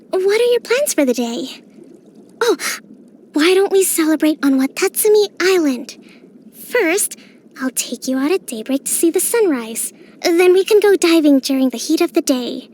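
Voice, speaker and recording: An actress voicing a young female island priestess and military strategist in a game, speaking in a gentle, composed, softly playful way. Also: very high-pitched. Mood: excited. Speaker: game character. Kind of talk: game voice lines.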